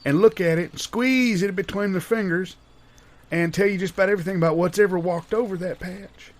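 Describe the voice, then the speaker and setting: A man playing an older, folksy worm farmer in deadpan improvised audio comedy, rambling and halting as he explains things earnestly. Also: high voice, natural voice.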